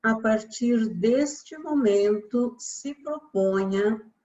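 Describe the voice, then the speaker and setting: Slow, higher-voiced Brazilian woman guiding relaxation. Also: muffled recording. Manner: calm, soothing instruction with long pauses. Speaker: yoga instructor. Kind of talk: guided relaxation meditation.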